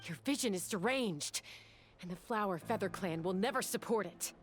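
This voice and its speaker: A woman's voice: mid-range voice, lively intonation, normal speed, close to an everyday voice. A woman playing a bold, protective young warrior in a video game, direct, earnest and defiant, with music behind her. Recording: game dialogue under loud music.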